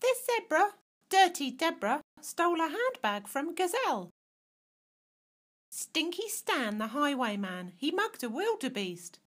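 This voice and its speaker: A woman voicing a plucky, confident, tiny police mouse sergeant with a squeaky voice in a rhyming cartoon. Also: very animated, measured pace, fairly bright tone, exaggerated voice. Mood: proud, stern. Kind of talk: rhyming animated story dialogue.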